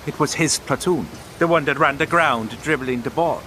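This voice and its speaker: An actor playing a humble, knowing poet passing on tips in a terse manner, in a wartime audio drama. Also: male voice, fairly high pitch, normal speed, bright, forceful tone, a little hiss or hum. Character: cryptic, humble poet and tipster, quietly righteous. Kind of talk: period audio drama acting.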